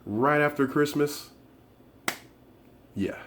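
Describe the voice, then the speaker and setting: A hoarse man, his voice worn down by the flu, hosts a casual tech unboxing and review, rambling with many fillers and restarts. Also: a little high, slow pace, a bit bright, slight background noise. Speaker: tech reviewer and channel host.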